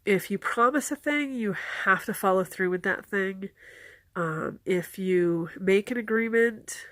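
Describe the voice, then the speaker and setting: A woman with a stuffed-up nose from a cold, calmly explaining folklore and giving practical advice in a casual, earnest way. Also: medium pitch, a little slow, no room sound.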